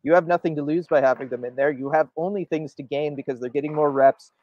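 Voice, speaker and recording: A man's voice: fairly high pitch, fast talker, no echo, highs cut off. A man co-hosting a sports show, giving emphatic opinionated commentary and often checking for agreement. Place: indoor room, video call.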